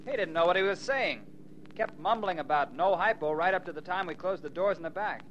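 An actor playing a brisk, matter-of-fact ambulance doctor in 1940s radio drama style. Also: male voice, sits high, lively intonation, a bit bright. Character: brisk male ambulance doctor rattled by a death. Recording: dull, noisy 1940s radio recording.

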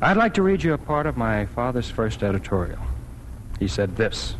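An actor in a 1940s radio drama playing a dignified, earnest newspaper editor giving a measured public address. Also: male voice, mid-range voice, very animated, lightly acted, poor audio. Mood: solemn. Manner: measured, solemn. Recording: hissy vintage 1940s radio recording.

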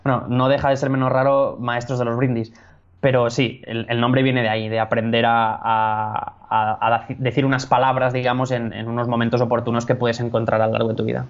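A man's voice: medium pitch, flat delivery, brisk. Spanish man, thoughtful and muffled. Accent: Spain Spanish. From Spain. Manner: thoughtful, many restarts, laughs easily. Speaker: public speaking coach. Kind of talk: casual interview chat. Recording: dull lo-fi sound.

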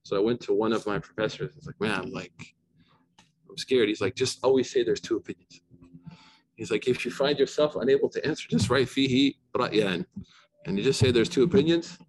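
A man's voice: medium pitch, fairly quick, a little hiss or hum, rough recording. Man giving a casual religious lecture. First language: not Arabic. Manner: conversational, many restarts and asides. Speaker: Islamic scholar lecturing. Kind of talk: informal lecture with Q&A.

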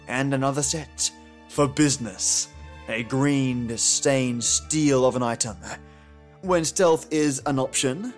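A man hosting a podcast with scripted, theatrical, dramatic narration. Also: mid-range voice. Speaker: podcast host. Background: music.

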